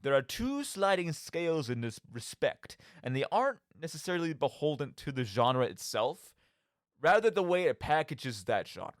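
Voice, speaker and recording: A man's voice: a little high, very animated, moderate pace, no room sound, clean studio sound. A man hosting a radio monologue about video games, speaking theatrically with rhetorical questions and direct asides to his listeners. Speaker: podcast host.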